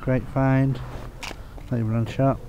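Liverpool guy in his 40s chatting to camera outside, slow and mumbly, noisy open air. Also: little pitch movement, dark tone. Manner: muttering low and offhand to himself. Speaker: magnet fishing vlogger. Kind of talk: casual running commentary. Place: outdoors on a canal towpath. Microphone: handheld camera or chest camera. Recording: outdoor wind and background noise.

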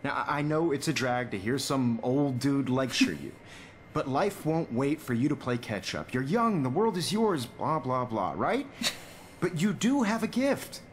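An American photography teacher in a video game. He is a charming, smooth-talking man who lectures gently and encouragingly, with a very animated rise and fall in his voice. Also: medium pitch, unhurried, slight background noise.

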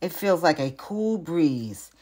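Low-voiced older woman reading aloud. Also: very animated, slow pace, darkish tone. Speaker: storyteller, retired teacher. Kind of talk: children's picture book read-aloud.

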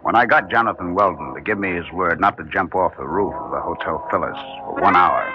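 Deep, gravelly radio cop. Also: male voice. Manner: plain-spoken, slangy. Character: world-weary, firm, steady police officer narrating his own case. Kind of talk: old-time radio drama acting and narration. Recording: muffled, noisy old radio recording.

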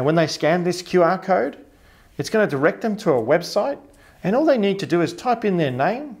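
A man in his thirties with a broad Australian accent presents a tech tutorial to camera. His voice sits a touch higher than most men's, and his delivery stays upbeat and explanatory. He sounds like he is reading from a script in an indoor studio. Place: indoor studio with a blurred office backdrop.

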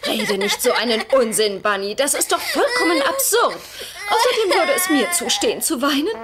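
A woman's voice: sits high, wildly swooping pitch, moderate pace, loud music behind the voice, exaggerated voice. A woman playing an exasperated, scolding mother in an audio drama, with theatrical delivery. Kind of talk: scripted German audio drama acting.

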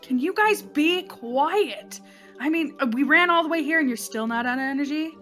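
Evil superheroine kidnapper woman acting: smug, impatient, drawn-out lines for an amateur game roleplay. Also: high-pitched, expressive, fairly bright tone, soft background music.

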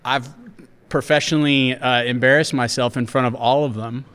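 Halting man at a podium, reverberant hall. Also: mid-range voice, steady tone, a bit bright, clean tone. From West Texas. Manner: halting, many restarts. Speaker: panel moderator. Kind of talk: introducing a panel. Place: hotel conference room. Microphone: podium mic.

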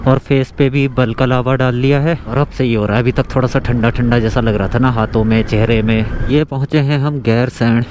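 A man in his thirties talks through his motorcycle ride in a relaxed, chatty way. His voice sits in an ordinary middle range. The outdoor recording carries clear wind and road noise. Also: mid-range voice, a little fast, hardly any pauses. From India. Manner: relaxed running commentary while riding. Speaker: motovlogger. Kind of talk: casual travel vlog narration. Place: outdoors on a motorcycle on mountain roads. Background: road and vehicle noise.

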